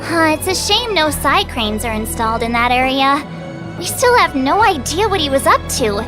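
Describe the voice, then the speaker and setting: A woman voicing an excitable, theatrical young girl detective in a video game, playful and dramatic. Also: high voice, normal speed, over music. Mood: suspicious, indignant. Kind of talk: animated video game voice acting.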